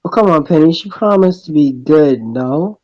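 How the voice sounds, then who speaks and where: A man doing an amateur dramatic reading of first-person narration and dialogue, halting and stumbling over his lines. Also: on the high side, lively intonation, highs cut off. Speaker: reader voicing the protagonist of a fan visual novel.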